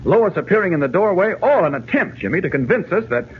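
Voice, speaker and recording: An actor playing an earnest, insistent reporter investigating a mystery, urgent and persuasive, in a 1940s radio serial. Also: male voice, high voice, very animated, fast. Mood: urgent, deducing. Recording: scratchy, narrow-band 1940s radio recording.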